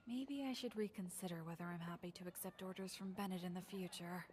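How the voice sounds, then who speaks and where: A woman playing a wry, polite shopkeeper in an English anime game dub, speaking in a measured way. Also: on the low side, steady tone, slight background noise, lightly acted. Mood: rueful.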